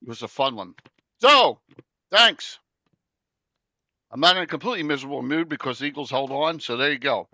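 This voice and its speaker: A man in his late fifties hosting a casual chat show, joking and rambling excitedly. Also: mid-range voice, fairly quick, long pauses, no echo. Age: 50s. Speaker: podcast host.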